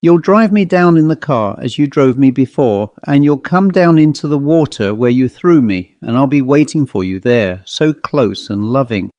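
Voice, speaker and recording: A man's voice: medium pitch, expressive, moderate pace, hardly any pauses, darkish tone, dull audio, close to an everyday voice. Guy doing a vengeful dead woman's ghost, bossy and menacing, spooky story reading, no room echo. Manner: insistent, menacing. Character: vengeful female ghost, commanding, coldly menacing lover.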